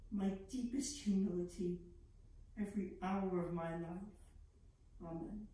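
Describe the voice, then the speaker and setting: A woman's voice: medium pitch, a little mellow, slight background noise, close to an everyday voice. Teen girl doing bitter old italian composer, pleading dramatically, super echoey and far from mic. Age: teenager. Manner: theatrical, slow, pleading and declaiming. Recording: echoey room, distant microphone.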